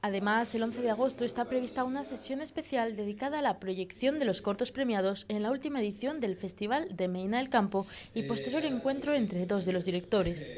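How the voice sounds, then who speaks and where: Measured news reporter woman, voice-over. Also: on the high side. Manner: measured, even narration.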